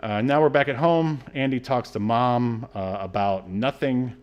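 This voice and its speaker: A man with a mid-pitched voice co-hosts a casual comedic podcast. He talks through a film recap in a sardonic, exasperated manner, swearing here and there. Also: measured pace, lo-fi sound. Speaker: podcast co-host.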